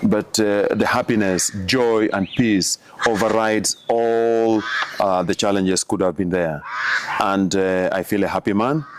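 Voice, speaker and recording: Slow, halting Ugandan priest, low voice. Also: male voice, steady tone, slight background noise. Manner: slow, halting, many fillers. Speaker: missionary priest. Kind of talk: interview answers.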